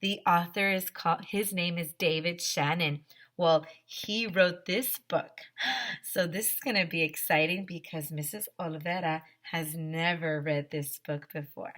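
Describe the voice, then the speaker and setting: A woman's voice: fairly low pitch, measured pace, fairly bright tone. A woman teacher reading a picture book aloud to young children, expressively, with exaggerated emphasis and questions put straight to the listeners. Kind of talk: animated storybook read-aloud with asides and questions to young listeners.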